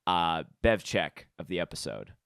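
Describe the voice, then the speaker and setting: A man's voice: deep voice, sing-song, normal speed, vocal fry, a bit bright, clear, resonant tone, no room sound, clean studio sound. A man co-hosting a podcast in a casual, informal and chatty way. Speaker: podcast co-host.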